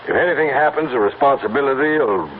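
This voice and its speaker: Radio play: actor as self-assured horse buyer, insistent and wheedling, very animated delivery, tinny vintage sound. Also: male voice, medium pitch, quick pace, close to an everyday voice. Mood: cocky, wheedling. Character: cocky, persistent horseman wheedling to buy a horse. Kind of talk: old-time radio drama acting. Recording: tinny band-limited old radio recording with hiss.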